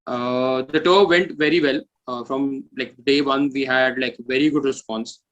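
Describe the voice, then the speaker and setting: A man's voice: medium pitch, steady tone, moderate pace, a bit bright, muffled recording. A man in a casual interview chat, speaking informally and repeating phrases for emphasis. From India. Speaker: metal musician, interview guest.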